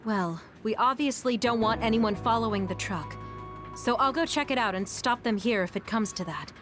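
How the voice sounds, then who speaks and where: Composed, highish female squad leader played by a woman. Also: moderate pace, over music, close to an everyday voice. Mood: commanding. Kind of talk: dubbed anime-style video game acting.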